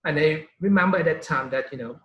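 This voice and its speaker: A man's voice: fairly high pitch, a little fast, a bit bright, roomy sound, lo-fi sound. A middle-aged man from Thailand, a neurologist answering interview questions with frequent "you know" fillers and restarts.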